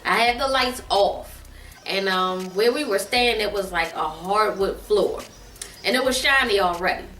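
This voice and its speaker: A woman's voice: medium pitch, a little slow, a bit bright. A middle-aged woman telling a funny story casually, emphatic and repeating phrases for comic effect. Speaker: guest mother telling a family story on her daughter's vlog. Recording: roomy echo, distant mic, faint music.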